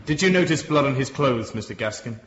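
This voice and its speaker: A man's voice: medium pitch, animated, background noise, natural voice. An actor playing a courteous, formal male Victorian defence barrister in a radio courtroom drama. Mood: reassuring. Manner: formal, measured questioning. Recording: roomy, slightly muffled courtroom sound.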